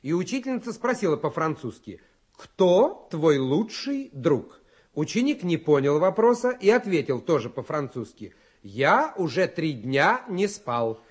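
Male kids' radio host, high and husky, scripted comic delivery. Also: sing-song, unhurried. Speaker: children's radio show host.

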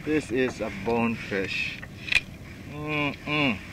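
A middle-aged man filming himself from a kayak on open water, calling out short excited reactions with whoops and laughter. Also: medium pitch, drawn-out words. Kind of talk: live reactions while fishing. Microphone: action camera mounted on kayak. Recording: wind and water noise.

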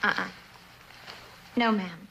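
A woman's voice: mid-range voice, slow, creaky, bright, forceful tone, exaggerated voice, low-quality recording. Tough young drifter girl in old tv drama, slangy, defiant, offhand, bit of background noise. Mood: wary, offhand. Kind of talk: mid-century television drama acting.

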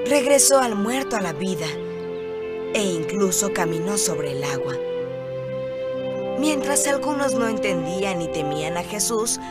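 A woman narrating an animated Bible film in a reverent, scripted storybook style. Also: medium pitch.

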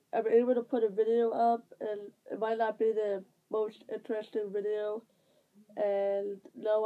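A young woman with a stuffy nose, rambling casually through a vlog update while lying on her bed. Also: medium pitch. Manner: rambling with many fillers and restarts. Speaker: vlogger. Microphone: device camera at close range. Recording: tinny phone-like sound.

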